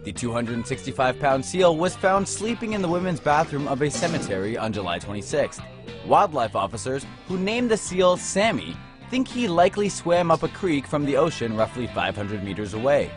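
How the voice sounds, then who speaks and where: A man's voice: mid-range voice, very animated, normal speed, over music. A man reading scripted narration for light news stories in a playful, storytelling way.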